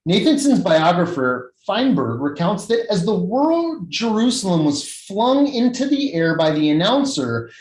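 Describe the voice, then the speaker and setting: A man's voice: high voice, big pitch swings. Man reading a formal research paper. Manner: measured, formal reading with scholarly phrasing. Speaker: academic conference speaker. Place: video-call recording, echoey room. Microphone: video call. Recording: echoey video-call recording.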